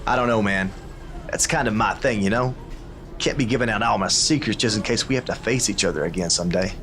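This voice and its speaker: An actor playing a laid-back, guarded but helpful wrestler ally, talking casually in a video game. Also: male voice, fairly low pitch, fairly quick, fairly bright tone, a little hiss or hum. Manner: casual, conversational. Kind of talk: scripted video game dialogue.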